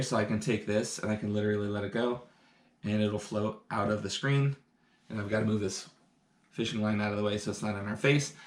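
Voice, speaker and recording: A man's voice: mid-range voice, fairly bright tone, echoey room. A man teaching science through a live demonstration, upbeat and explaining each step for kids indoors. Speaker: science educator. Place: indoor room.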